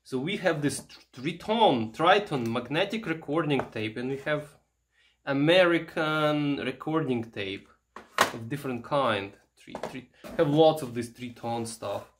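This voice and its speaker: Male vlogger, mid-pitched, casual and halting show-and-tell, thinking aloud, some background noise. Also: lively intonation. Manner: halting, musing aloud while handling items. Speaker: vintage electronics vlogger.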